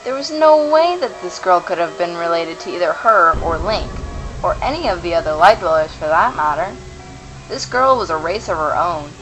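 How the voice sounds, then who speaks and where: A woman's voice: lowish voice, expressive, moderate pace, poor audio. A woman reading scripted narration for a fantasy radio play. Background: music.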